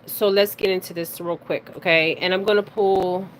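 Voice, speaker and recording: A woman hosting a casual spiritual reading, speaking haltingly, stumbling over words and often checking in with her listeners. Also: on the low side, steady tone. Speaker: spiritual reader and card reader hosting her own video. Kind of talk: casual psychic reading, reading news details aloud. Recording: clearly noisy recording with background ambience.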